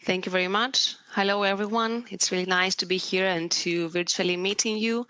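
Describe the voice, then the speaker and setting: A woman's voice: mid-range voice, steady tone, fairly quick, bright, forceful tone, clean tone, no echo, rough recording. A woman with a Greek accent presenting a corporate career webcast, fluent with frequent filler sounds. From Greece.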